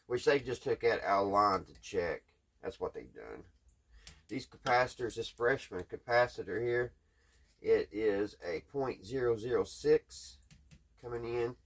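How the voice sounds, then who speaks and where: A man with a Southern US accent giving casual, hands-on repair commentary in a folksy, hesitant way, thinking aloud as he goes. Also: on the low side. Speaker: vlogger, radio restoration hobbyist.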